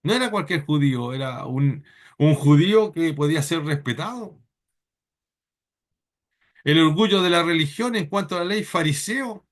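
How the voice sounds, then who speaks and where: A man from Chile, preaching a sermon in church in an earnest, halting way with many repeated words. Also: on the high side, animated.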